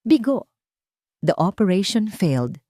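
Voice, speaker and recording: A woman's voice: medium pitch, big pitch swings, unhurried, warm tone, no room sound, clean studio sound. A woman with a Filipino accent voicing a scheming fiancée in an audio drama, calculating and businesslike beneath feigned sweetness. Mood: cold, businesslike.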